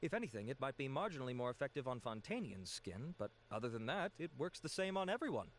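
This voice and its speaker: A man's voice: mid-range voice, big pitch swings, fast, a little hiss or hum, natural voice. A man voicing a courteous, earnest cosmetics researcher in an English video game dub, speaking politely and formally. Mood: pleasantly surprised.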